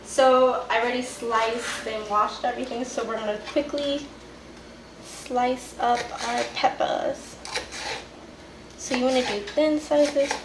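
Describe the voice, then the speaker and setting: Bubbly giggly girl in her 20s from miami, slow easy talk, fairly high voice, cooking in a roomy kitchen. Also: steady tone. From Miami, Florida. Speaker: cooking show contestant. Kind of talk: casual cooking demo. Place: home kitchen, indoors. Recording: echoey room, distant mic, noisy.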